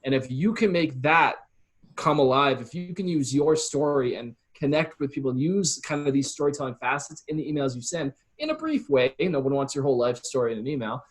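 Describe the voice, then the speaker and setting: A man's voice: medium pitch, expressive, a little fast. A man explaining things earnestly and casually as an interview guest. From Calgary, Canada. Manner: earnest, explanatory, often voices example quotes. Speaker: guest, copywriter and consultant. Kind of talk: casual conversational interview talk.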